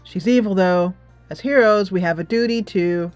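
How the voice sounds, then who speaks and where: A woman's voice: fairly low pitch, normal speed, a little mellow, dry recording, faint music behind, close to an everyday voice. A woman voicing a righteous, zealous paladin from a fantasy game, reading dialogue aloud in a firm, declarative way. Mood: zealous, insistent.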